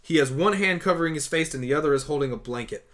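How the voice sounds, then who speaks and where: A man's voice: on the high side, quick pace, a bit bright. A man reading scripted story narration for a fan audio drama.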